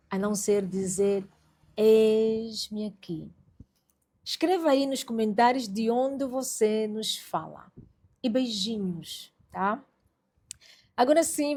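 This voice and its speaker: Female faith podcast host, medium pitch, emphatic preacher-like exhortation spoken straight to the audience. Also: a little mellow. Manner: exhorting, emphatic, addresses listeners directly.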